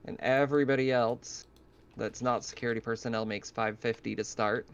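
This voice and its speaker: A man's voice: medium pitch, fairly even intonation, normal speed, clear, resonant tone, highs cut off. A man running a tabletop game, casually explaining the setting and rules to players. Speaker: game master.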